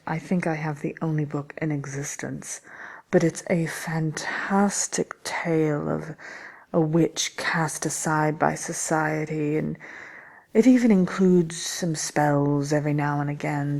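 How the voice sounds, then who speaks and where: A woman voicing a haughty, devious wicked witch in a soft-spoken ASMR manner, with drawling, whispery phrases as she hosts a question-and-answer show. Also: sits low, creaky, exaggerated voice. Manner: slow, deliberate, drawling, with whispery trailing phrases. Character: wicked witch, deviously gloating, haughty, fond of scaring children. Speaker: character host answering listener questions.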